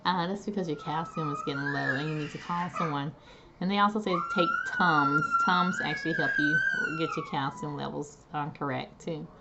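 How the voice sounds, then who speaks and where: A chatty woman vlogging from home, talking casually to her viewers and calling them guys, her voice on the low side for a woman. She is still recovering after neck surgery and her voice is getting its strength back. The recording is dull and lo-fi, with clear noise in the background. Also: wildly swooping pitch, normal speed. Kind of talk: casual talk to camera.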